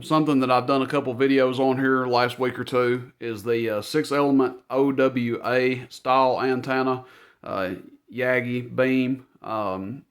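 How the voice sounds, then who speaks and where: A man talking casually through shop inventory as its owner, in a plainspoken, informal, folksy way. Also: medium pitch. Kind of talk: casual product rundown.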